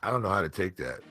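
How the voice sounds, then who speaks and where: A man with a bright, forceful voice that swings widely in pitch, co-hosting in casual banter over music. He is emphatic, teasing and tends to repeat his words. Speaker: sports show co-host.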